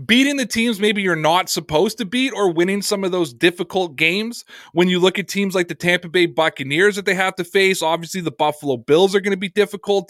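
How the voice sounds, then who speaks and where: A man's voice: high voice, pushed and bright, dry recording, clean studio sound. A man hosting a sports podcast, answering viewer comments in an earnest, conversational way.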